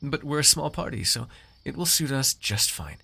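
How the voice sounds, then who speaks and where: A man's voice: medium pitch, sing-song, normal speed, gravelly, pushed and bright, slightly rough, exaggerated voice. A man playing a haughty, teasing, possessive noble master in an ASMR roleplay, with formal, drawling, condescending theatrical diction. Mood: patronizing, reassuring.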